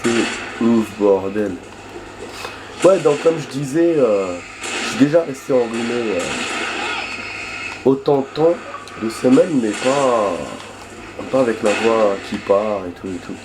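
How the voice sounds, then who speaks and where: A man commenting on a video game while hoarse from a cold, rambling chattily and swearing in surprise at the action. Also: medium pitch, slow, pauses often. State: hoarse from a cold, losing his voice. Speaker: gaming video host. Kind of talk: casual let's-play commentary. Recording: background noise and game sounds.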